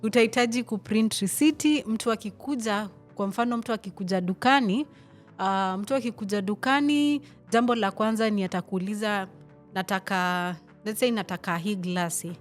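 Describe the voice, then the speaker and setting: Kenyan woman explaining software, relaxed. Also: medium pitch, moderate pace, soft background music. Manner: relaxed, explanatory, laughs easily, many fillers. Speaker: interview guest, sales team leader. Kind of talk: casual interview, switching to Swahili to explain.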